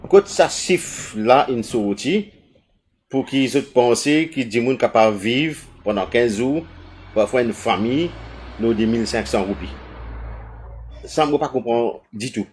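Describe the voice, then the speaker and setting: A man with a Mauritian accent speaking on an online panel, earnest, his pace shifting between quick and slow. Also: medium pitch, a little mellow, slight background noise. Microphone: video call.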